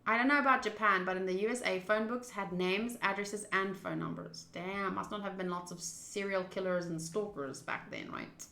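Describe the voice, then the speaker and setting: A woman's voice: mid-range voice, steady tone, moderate pace, creaky, hardly any pauses, bright, forceful tone, roomy sound. A woman streamer doing a game playthrough, reading text aloud and adding casual commentary.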